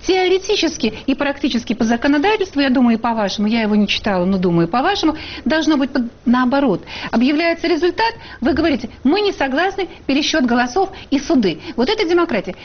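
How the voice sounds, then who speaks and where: A woman's voice: high-pitched, big pitch swings, fast talker, lo-fi sound. A woman journalist hosting a heated studio debate, pressing and interrupting her guests. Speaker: talk show host and journalist.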